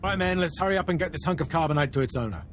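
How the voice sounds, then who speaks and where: An actor playing an overconfident military captain in a video game, brisk, formal and self-assured. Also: male voice, fairly high pitch, expressive, soft background music, natural voice. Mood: brisk confidence.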